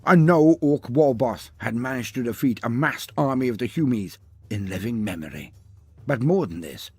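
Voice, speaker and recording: Raspy guy narrating an over the top fantasy epic, super theatrical, voice rises and falls a lot, dry close sound. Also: mid-range voice, lively intonation, normal speed, darkish tone, dry recording. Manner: grandiose, theatrical, ornate. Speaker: storyteller, narrator.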